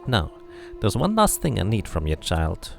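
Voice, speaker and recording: A man's voice: lowish voice, wildly swooping pitch, measured pace, creaky, soft background music, exaggerated voice. A man voicing a weary, knowing old woman, a hedge witch who talks in an archaic folksy dialect, in a video game read-through. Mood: businesslike request.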